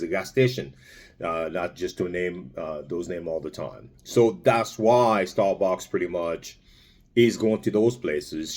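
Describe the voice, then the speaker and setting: A man from Congo talks through his thoughts in a loose, rambling way, restarting phrases and filling pauses. His voice sits fairly low. He speaks casually, as if chatting to his viewers. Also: a little mellow. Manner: rambling, many restarts and fillers. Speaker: vlogger. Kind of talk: casual spoken commentary.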